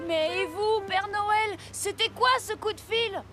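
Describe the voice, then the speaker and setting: An earnest young cartoon boy, with a high, expressive voice over loud music. He sounds determined and open-hearted, speaking in exclamations like an eager apprentice. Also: unhurried. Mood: concerned. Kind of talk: French animated children's series dubbing.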